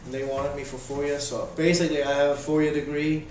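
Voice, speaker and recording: A man in his thirties with a Danish accent, answering interview questions in a halting, casual way with many restarts. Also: on the high side, level tone, darkish tone, a little hiss or hum. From Denmark. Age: 30s. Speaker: interviewee, volunteer volleyball coach. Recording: echoey room, voice from a distance.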